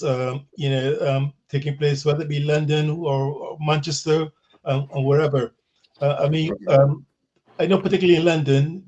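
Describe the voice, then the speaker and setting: Middle-aged man, slightly high pitch, conversational guest, halting, repeats phrases. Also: a little mellow, dull audio. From Barbados. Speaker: diaspora guest living in England. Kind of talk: conversational interview answers. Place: small office, on a video call. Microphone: video call, device in front.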